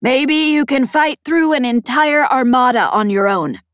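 Lady doing a tough bossy pirate woman, higher voice, pushy and taunting, audiobook character, dry sound. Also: lively intonation, a little slow, a bit bright, dry recording. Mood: ominous warning.

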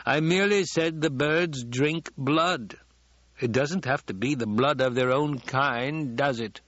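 A man voicing a haughty, easily angered banker with a stiff, raspy delivery in an audiobook. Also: medium pitch, a little slow, fairly bright tone, no echo, highs cut off, voice pushed up. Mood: slow, needling. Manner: stiff, muttering, rasping speech. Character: angry, haughty, cowed male banker at dinner. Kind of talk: audiobook character dialogue.

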